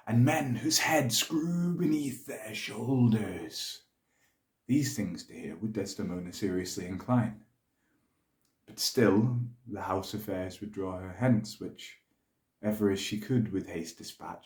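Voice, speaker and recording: A man acting as a proud soldier pleading his case in Shakespearean verse. The voice is low-pitched and the delivery is measured and rhetorical, with formal eloquence. It rings in a very echoey room. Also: darkish tone. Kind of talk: Shakespearean dramatic monologue.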